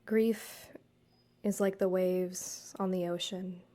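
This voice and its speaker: A woman guiding a meditation, speaking to the listener in a soothing, measured way with deliberate pauses. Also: lowish voice, little pitch movement, mellow. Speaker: guided meditation host, counselor and yoga teacher. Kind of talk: gentle guided meditation narration, addressed to the listener.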